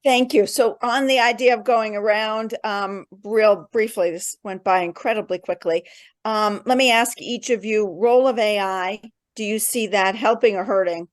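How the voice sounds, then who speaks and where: A woman moderating an online panel discussion over a video call, brisk and upbeat as she moves the talk along. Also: medium pitch, dry recording.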